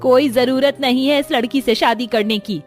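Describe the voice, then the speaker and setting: A woman's voice: sits high, moderate pace, soft background music, lightly acted. A woman voicing a haughty middle-aged cartoon mother-in-law who is scornful, commanding and scheming. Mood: scornful, indignant.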